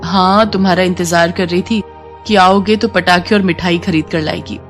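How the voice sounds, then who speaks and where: A woman voicing a gentle, deferential poor housemaid and mother in a moral story cartoon. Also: on the low side, fairly even intonation, normal speed, soft background music, close to an everyday voice. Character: meek, caring poor maid and mother.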